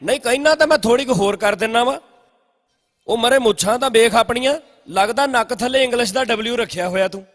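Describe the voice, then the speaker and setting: A man's voice: extremely high pitch, brisk, a bit bright. A man voicing a bossy, mocking king in a comic cartoon dub, with a Punjabi accent. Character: blustering, mocking king, fond of threats and insults. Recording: music behind the voice. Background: music.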